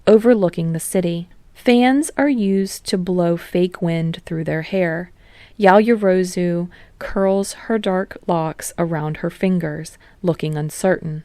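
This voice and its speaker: A woman's voice: fairly low pitch, darkish tone, no echo. A woman reading scripted fiction expressively as an audiobook narrator.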